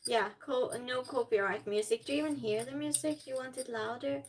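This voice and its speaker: A woman's voice: sits high, a little fast, very noisy. A woman who is not a native English speaker chats casually on a livestream, rambling along and answering viewers as she goes. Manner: rambling, reads viewer comments aloud and replies. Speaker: live streamer. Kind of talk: casual livestream chat while cooking. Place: indoors at home while cooking.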